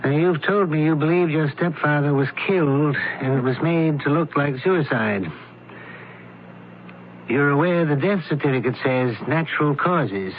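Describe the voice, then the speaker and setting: An actor playing a brisk, shrewd doctor turned investigator in an old-time radio mystery, questioning with clipped authority. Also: male voice, a little high, close to an everyday voice. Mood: probing. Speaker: investigator character. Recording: narrow, tinny old radio recording, hiss.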